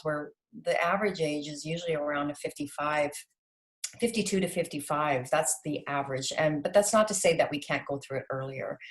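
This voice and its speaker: A woman in her late forties from Canada, a health coach talking as a podcast guest in a chatty way with many fillers. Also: deep voice. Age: late 40s.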